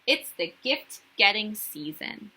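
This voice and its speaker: A woman's voice: mid-range voice, sing-song, slow pace, sharp tone, breathy edge, heavily acted, rough recording. A woman reading a storybook, voicing a vain, bossy young girl who speaks insistently and has little patience. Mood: smug.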